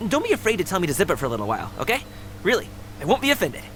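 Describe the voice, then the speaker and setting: A woman voicing a friendly, energetic and chatty young female guard in a video game, with a casual, considerate way of talking. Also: lowish voice, rapid-fire, very noisy. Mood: chatty, cheerful. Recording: game music underneath.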